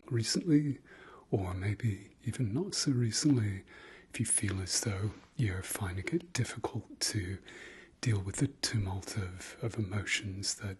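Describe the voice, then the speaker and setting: Male meditation guide: lowish, very slow, whispered ASMR delivery, soft and hesitant. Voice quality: breathy, whispery. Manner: soft, gentle, hesitant pauses with trailing repeats.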